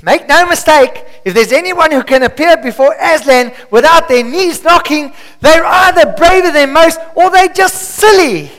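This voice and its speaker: A man's voice: very high voice, animated, normal speed, few pauses, fairly bright tone, pitched above the performer's own voice. A man voicing a motherly, knowing female beaver from a storybook.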